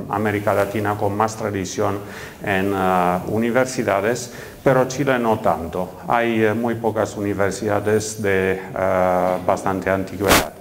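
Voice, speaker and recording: A man's voice: on the low side, slight background noise. A middle-aged man from Romania with a Romanian accent, giving a conference keynote talk with frequent hesitant fillers. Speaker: keynote speaker. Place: conference hall.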